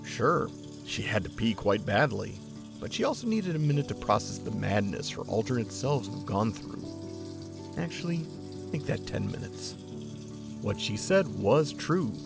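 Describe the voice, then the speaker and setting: A man narrating a story in a measured, dry storytelling manner. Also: on the low side, sing-song, gravelly. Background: music.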